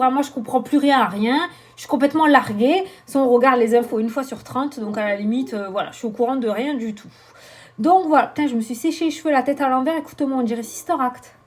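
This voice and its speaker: Chatty woman, high voice. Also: a little fast. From southern France. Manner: animated, swearing, laughing, self-mocking. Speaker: tarot card reader. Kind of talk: casual chatty vlog.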